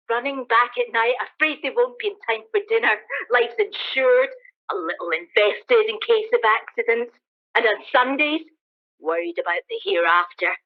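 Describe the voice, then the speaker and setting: A woman's voice: fairly high pitch, lightly acted. Casting: woman as hard-bitten, defiant soldier survivor for radio drama; colloquial, emphatic delivery, narrow telephone-like audio. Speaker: amateur actor in a radio play. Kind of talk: dramatised radio play dialogue. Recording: thin phone-like band-limited sound.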